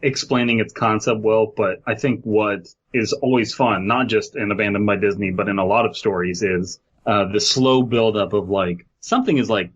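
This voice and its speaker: A man's voice: fairly low pitch, steady tone, normal speed, hardly any pauses, breathy edge, highs cut off. A man co-hosting a podcast in casual, loosely rambling chat. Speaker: podcast co-host.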